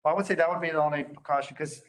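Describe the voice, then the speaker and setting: A man's voice: on the high side, fairly even intonation, rapid-fire, fairly bright tone. A man talking tentatively and informally as he takes part in a meeting discussion. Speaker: board member at meeting. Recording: faint background noise.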